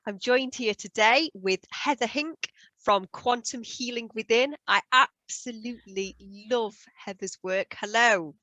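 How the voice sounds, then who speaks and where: A woman's voice: on the high side, a little slow, pushed and bright, dry recording. A woman hosting a video call interview, asking questions with enthusiasm and effusive praise. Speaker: host, hypnosis trainer.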